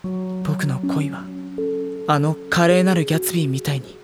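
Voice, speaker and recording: A man playing a gentle, wounded high school boy in an audio drama, speaking in a soft, introspective, earnest way. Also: fairly even intonation, moderate pace, loud music behind the voice, natural voice. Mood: wistful. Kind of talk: Japanese audio drama acting with introspective monologue.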